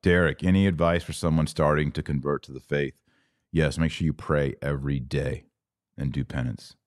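Deep-voiced man in his forties, blunt. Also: steady tone, moderate pace, dry recording, studio quality. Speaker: podcast host. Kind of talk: casual livestream talk, reading and answering viewer comments.

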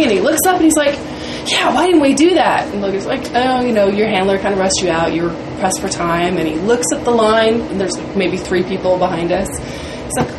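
A woman guest telling a casual anecdote in an interview, acting out what people said. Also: mid-range voice. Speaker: podcast guest, fan film cast or crew. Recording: noisy room with background hum.

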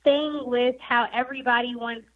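A woman's voice: fairly high pitch, fairly even intonation, moderate pace. A young woman with a sweet, girlish voice, chatting as a guest on a talk show, laughing often and using lots of fillers. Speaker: guest musician. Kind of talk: casual interview chat. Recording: dull lo-fi sound.

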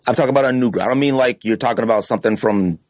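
A man's voice: mid-range voice, very fast, a little mellow, no room sound. A man hosting a podcast in casual debate, talking emphatically and repeating phrases to drive his point home. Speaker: podcast host.

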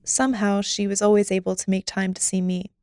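Woman host reading narration, smooth clear voice, dry close sound. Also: mid-range voice, flat delivery, a little fast, a little mellow, dry recording. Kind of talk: scripted narration.

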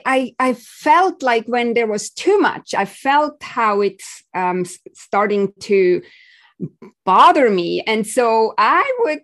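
A woman from Switzerland, a podcast guest telling her story in casual interview talk, halting and restarting, with small laughs. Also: on the high side.

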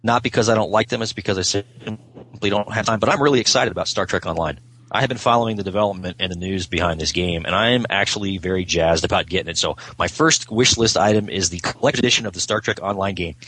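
A man with a raspy voice chatting casually as a podcast guest. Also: sits low, fast, a bit bright, poor audio. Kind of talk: casual chat.

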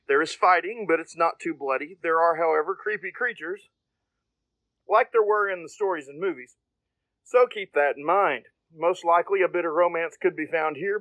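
Man, high voice, podcast host, scripted review narration, dry sound. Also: no echo, low-quality recording.

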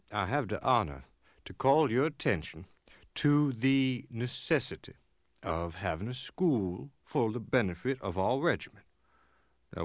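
Dignified Union sergeant, swooping oratory. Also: male voice, lowish voice, no echo, studio quality. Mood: formal, earnest. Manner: oratorical, formal, impassioned. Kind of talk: dramatic reading of a formal letter.

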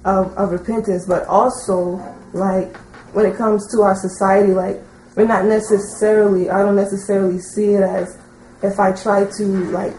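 Woman asking something from the audience, kinda halting, soft-edged voice, echoey room w a bit of noise. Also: medium pitch. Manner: hesitant, halting, with frequent "like". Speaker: audience member asking a question. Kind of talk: spontaneous question and comment at a teaching session. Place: indoor teaching session, speaking from the audience. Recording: roomy echo, faint background noise.

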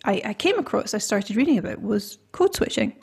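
A woman's voice: mid-range voice, very animated, fairly quick, creaky, a little mellow, breathy edge. Chatty podcast host from Scotland, woman. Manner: chatty, rambling with many fillers and restarts. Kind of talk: casual podcast conversation.

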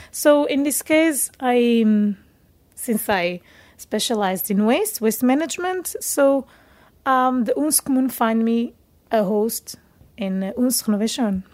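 A young woman from Portugal with a Portuguese accent, a native Portuguese speaker telling her story in an interview, often pausing to search for words in English. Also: fairly high pitch, darkish tone. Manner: hesitant, searching for words in second-language English. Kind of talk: casual personal storytelling in an interview.

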